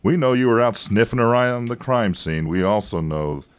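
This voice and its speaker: A man playing a deep-voiced, slick government agent. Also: expressive, moderate pace, clear, resonant tone, dry recording, lightly acted. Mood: sly, confiding. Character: evasive, persuasive male government agent, slick and patronizing. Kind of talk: radio drama acting.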